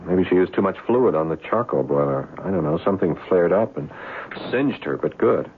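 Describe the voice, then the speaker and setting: A man acting an anxious but rational husband in a 1970s radio drama, with halting, stammering delivery. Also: low voice, animated, a little hiss or hum. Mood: tense, explaining. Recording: muffled lo-fi 1970s radio broadcast.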